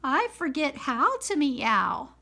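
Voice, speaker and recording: A shy, worried young boy cat from a storybook, as a woman reading aloud puts him on. His voice is raised and bright, and he speaks timidly and hesitantly. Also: sits high, huge pitch swings, slow, creaky, voice pushed up, full character voice.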